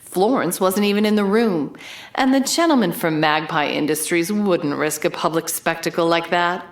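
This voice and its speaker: A woman's voice: on the low side, moderate pace, natural voice. A woman playing a bold, wry investigative reporter who narrates her case in a dramatic, noir-style audio drama. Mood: calm, probing.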